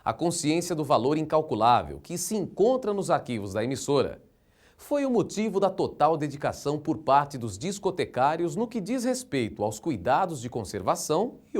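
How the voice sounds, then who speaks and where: A man's voice: fairly high pitch, darkish tone. A man with a Brazilian accent narrating a scripted documentary.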